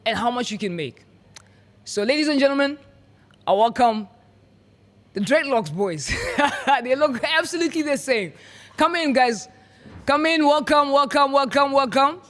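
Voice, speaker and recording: A man's voice: very high-pitched, lively intonation, a little slow, long pauses, fairly bright tone. A man hosting a podcast, playful and hyped, often repeating his words. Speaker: podcast host.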